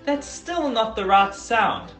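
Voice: mid-range voice, expressive, slow, a bit bright, roomy sound, soft background music, voice pushed up. A storybook reader voicing an eager, self-doubting young male cat in an earnest, exclamatory way.

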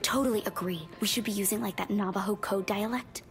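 A woman playing a paranoid, deadpan young computer hacker in a video game, talking in a dry, matter-of-fact way. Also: medium pitch, fairly quick, lightly acted. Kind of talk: video game cutscene acting. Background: background music.

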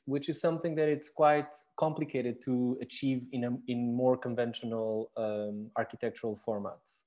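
A man's voice: mid-range voice, mellow. A man in his thirties from Portugal, speaking English with a Portuguese accent, explaining his design work thoughtfully with many fillers and restarts. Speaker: architect and exhibition designer. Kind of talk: recorded talk answering questions.

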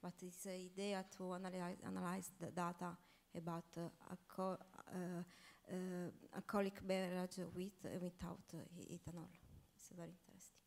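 A woman on a conference panel answering questions, speaking haltingly with many fillers and non-native phrasing, on a handheld mic in a large hall. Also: on the low side, flat delivery, vocal fry, darkish tone. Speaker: panelist researcher. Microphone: handheld microphone.

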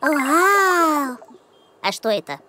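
A feisty little backpack girl from a children's cartoon. The voice is very high and swings wildly as she exclaims and bosses everyone about. Loud cartoon music plays behind her. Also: big pitch swings, drawn-out words, exaggerated voice. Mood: excited, curious. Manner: exclamatory, impulsive, bossy. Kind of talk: animated children's cartoon acting.

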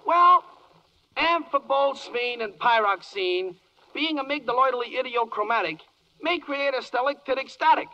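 A man's voice: very high voice, expressive, sharp tone, full character voice. A man playing a zealous spokesman declaiming rhymed patter in a 1940s radio play. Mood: eager, declamatory. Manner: declamatory, rapid-fire patter. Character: zealous, rhyming salesman-like spokesman for minerals. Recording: muffled 1940s radio broadcast.

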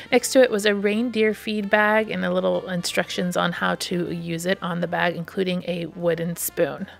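Woman doing a shopping vlog voiceover, lowish gravelly voice, upbeat and gushy. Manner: upbeat, gushing over finds. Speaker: shopping vlogger. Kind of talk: shop-with-me voice-over.

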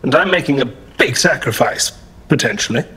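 A sardonic male android in a sci-fi audio drama. He speaks precisely and drily, with a husky, slightly rough voice and a guarded, deadpan temper. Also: mid-range voice, normal speed, full character voice. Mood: resigned.

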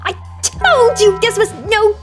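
A woman's voice: very high-pitched, unhurried. A woman voicing bratty, squabbling villain underlings in an amateur comedic cartoon redub, theatrical and exclamatory. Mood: panicked, whiny. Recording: music behind the voice.

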